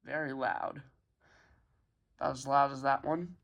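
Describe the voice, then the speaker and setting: A young man with a medium-pitched voice talks through a hobby showcase at home. He is informal and a bit halting, speaking casually into a handheld phone as a reviewer would. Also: steady tone, noisy background. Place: carpeted room at home.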